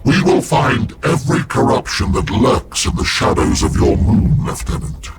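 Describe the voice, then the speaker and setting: An actor playing an ancient warrior-psyker sealed in a war machine, speaking formally and solemnly as the game's narrator. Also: male voice, medium pitch, animated, normal speed, very noisy, natural voice. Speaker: librarian, narrator. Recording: with loud game music behind.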